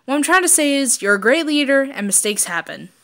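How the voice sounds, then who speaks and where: A woman voicing an earnest, awkward young man in a fan fiction story, halting and easily flustered. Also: sits high, very animated, a bit bright, pitched above the performer's own voice, full character voice. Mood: flustered, insistent.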